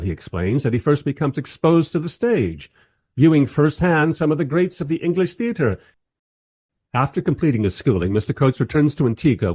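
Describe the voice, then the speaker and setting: An actor as a wry, husky male narrator. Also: medium pitch, darkish tone, no echo. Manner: dry, sardonic storytelling. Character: wry, weary male filmmaker narrator named Fred. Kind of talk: radio play first-person narration.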